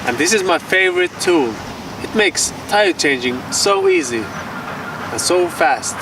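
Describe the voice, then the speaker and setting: Brief: casual vlogger fixing his car outside, a bit high-pitched, crude and chatty. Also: wildly swooping pitch, a little slow. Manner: casual, swears freely, talks to himself. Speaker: vlogger doing car repairs. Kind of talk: casual running commentary. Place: outdoors beside a car. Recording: loud outdoor noise.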